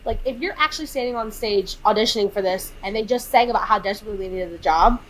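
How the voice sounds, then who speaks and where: A thirty-year-old woman co-hosting a podcast, recapping a film in casual chat, animated and exasperated as she acts out quotes. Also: medium pitch, fast, a little hiss or hum, poor audio. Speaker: podcast co-host.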